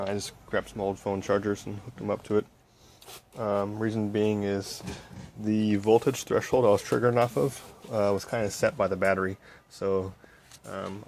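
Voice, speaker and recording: A man with a fairly low voice talks through a hands-on project in a very even, flat tone. He explains informally, step by step, like a casual update. There is clear noise on the home-made recording. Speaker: electronics hobbyist.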